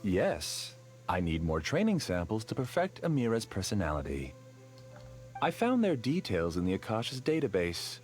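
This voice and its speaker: An actor playing a calm, obsessive male scholar villain in a video game, with a smooth, measured delivery. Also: fairly low pitch, soft background music. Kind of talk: game voice acting.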